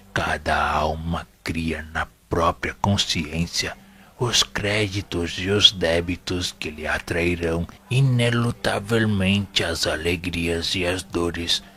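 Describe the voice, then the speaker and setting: A wise, fatherly spirit teacher with a Brazilian accent, deep and forceful. He lectures slowly and solemnly in formal oratory, as in an audio drama. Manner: grave, didactic, formal oratory. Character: wise, paternal, solemn male spiritual instructor. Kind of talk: audio drama sermon-like monologue.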